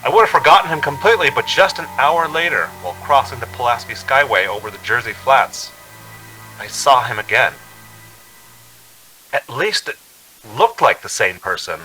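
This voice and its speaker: Casting: haunted young traveler, very bright forceful voice, radio suspense over music. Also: male voice, medium pitch, lively intonation, moderate pace, poor audio. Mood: brooding, uneasy. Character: uneasy young man narrating his eerie road trip. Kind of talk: radio drama acting, first-person suspense narration.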